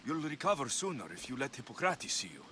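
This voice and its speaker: An actor playing a battle-hardened young warrior in a game cutscene, earnest, blunt and direct. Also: male voice, medium pitch, sing-song, fast, noisy background, natural voice. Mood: concerned, reassuring. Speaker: video game character actor. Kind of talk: dramatic game cutscene acting. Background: background music.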